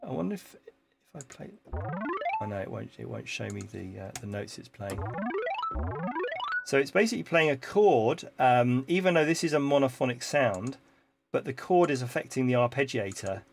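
A man's voice: medium pitch, lively intonation, moderate pace, creaky. A middle-aged man hosting a live stream in his home studio, casually explaining how he builds a track, with frequent ums and restarts. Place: home music studio with keyboard and computer. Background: faint synth music from the session.

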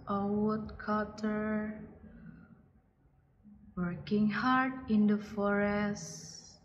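A woman narrating a children's puppet-show story in deliberate short phrases, like a kindergarten teacher reading to little ones. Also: mid-range voice, monotone. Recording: muffled, distant, reverberant hall with noise.

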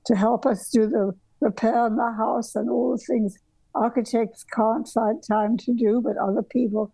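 Elderly woman with a gravelly, mellow voice. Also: on the high side, fairly even intonation, normal speed, no room sound, rough recording. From southern Africa. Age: older. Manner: meandering, with frequent restarts and ums. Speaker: guest architect. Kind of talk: reflective conversational storytelling. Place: home bedroom workroom, indoors. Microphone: video call.

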